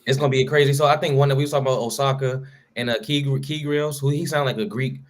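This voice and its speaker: This man co-hosts a laid-back sports chat. He talks in loose slang and keeps restarting his sentences, with fillers everywhere. His voice sits at an average pitch for a man. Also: steady tone, quick pace. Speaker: podcast co-host. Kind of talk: casual sports talk.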